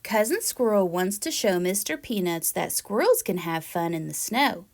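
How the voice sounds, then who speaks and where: A woman reading a children's picture book aloud in a deliberate, expressive storytelling manner. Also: mid-range voice, slight background noise. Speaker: read-aloud storyteller, teacher-like presenter.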